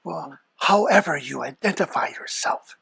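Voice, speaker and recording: A performer voicing the Devil as a sly, haughty old boss, formal and deliberate, husky and whispery, bantering as a podcast guest. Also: medium pitch, animated, fairly bright tone, exaggerated voice. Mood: sly, menacing. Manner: formal, deliberate, darkly threatening.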